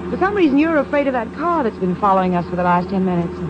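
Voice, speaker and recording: A woman acting a sharp-witted, direct, suspicious young society woman in a 1950s radio drama. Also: mid-range voice, sing-song, fast, natural voice. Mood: wary, probing. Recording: muffled, noisy 1950s radio recording.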